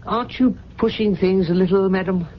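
An actor playing an ailing, devoted older butler in a radio drama, formal, deferential and hesitant. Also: male voice, sits high, fairly quick, lightly acted. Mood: flustered, solicitous. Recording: dull, noisy 1970s radio broadcast.

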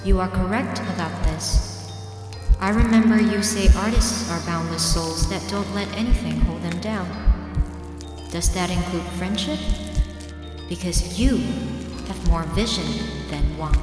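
A woman playing an inquisitive android who poses as a portrait sitter and confronts the artist in an audio drama, in a measured manner. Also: fairly low pitch, over music, natural voice. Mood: reflective. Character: probing female android posing as portrait sitter.

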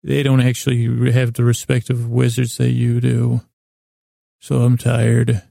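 A man hosting a sleep podcast with a rambling comic monologue, meandering and halting, stumbling into tangents and interrupting himself. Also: mid-range voice, completely flat delivery, dark tone, no room sound, clean studio sound. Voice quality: very creaky, gravelly drone.

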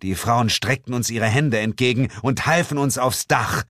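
A man narrating an audiobook in first person, measured and theatrical, dropping lower for dramatic moments. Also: fairly bright tone, no room sound, studio quality. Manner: measured, theatrical, drops low for dramatic lines.

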